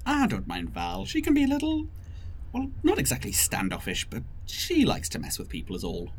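Male actor, fatherly, courteous man, audio drama, hugely varied pitch, gentle reassuring manner, slight background ambience. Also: wildly swooping pitch, moderate pace, slight background noise, full character voice, poor audio. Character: kindly, polite, fatherly man in charge.

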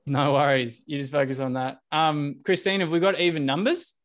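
A man with a slightly high voice leads an online group session over a video call. He keeps things casual and easygoing, often restarting his sentences as he coordinates. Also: fairly even intonation, normal speed. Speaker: workshop facilitator.

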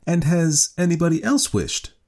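A man's voice: on the high side, animated, normal speed, a little mellow, voice pushed up. A man voicing an inquisitive old mother in a storybook reading, persistent and wry, with a questioning manner.

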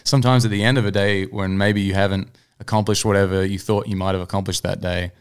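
Man, low-pitched, clear smooth voice, interview guest, casual easygoing anecdotes. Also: steady tone, fast talker. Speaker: singer-songwriter, podcast guest.

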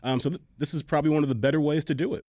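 A man's voice: on the high side, fairly even intonation, very fast. A man, a reporter and podcast co-host, chatting on a radio panel in a jokey, laughing way. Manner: jokey, laughing, repeats words. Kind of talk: casual panel chat.

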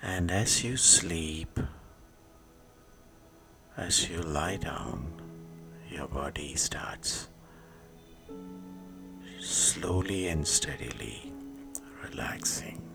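Very deep, slow male meditation guide. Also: lively intonation, creaky, in a whisper. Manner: soothing, slow, hushed, short phrases with long pauses. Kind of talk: guided sleep meditation narration. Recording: music behind the voice. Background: meditation music.

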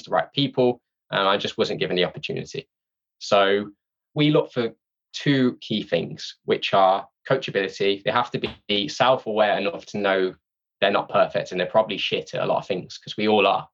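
A man's voice: fairly low pitch, fairly quick, fairly bright tone, lo-fi sound. A man speaking informally as an interview guest, candid and self-deprecating in a casual conversation. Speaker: podcast guest, sales training company representative.

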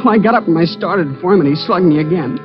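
An actor playing a shaken young man who was beaten, speaking in a halting way in a 1940s radio crime drama. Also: sits high, natural voice. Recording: dull lo-fi 1940s radio broadcast with music.

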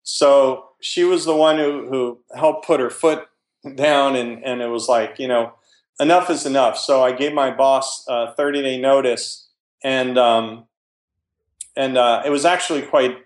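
A man's voice: medium pitch, steady tone, unhurried. Man telling investing stories, roomy echo. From New Jersey. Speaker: real estate investor guest. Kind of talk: casual interview conversation.

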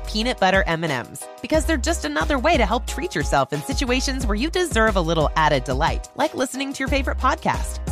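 Woman, upbeat commercial announcer, higher pitch, varied intonation, scripted promotional read. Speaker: advertisement announcer. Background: music.

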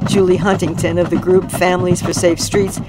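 A woman filing a scripted radio news report from the field, crisp and direct. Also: on the low side, flat delivery, brisk, very noisy. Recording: loud music bed behind voice.

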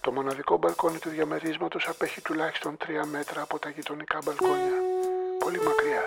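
An actor playing an impatient, bossy police inspector in a radio play. He barks orders and mutters his thoughts in a hushed voice. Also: a little high, flat delivery, creaky, over loud music. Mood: tense.

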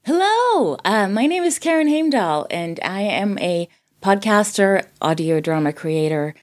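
A woman's voice: mid-range voice, big pitch swings, measured pace, darkish tone. A woman in her thirties from Sweden, a native Swedish speaker, chatting casually as a podcast guest. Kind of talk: casual chat.

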